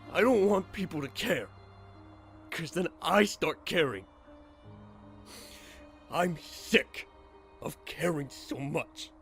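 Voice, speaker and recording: A man's voice: on the high side, gravelly, full character voice. A man playing a guarded, abrasive young survivor in a zombie apocalypse audio drama, curt, defensive and foul-mouthed. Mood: hurt, bitter. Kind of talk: fan audio drama acting.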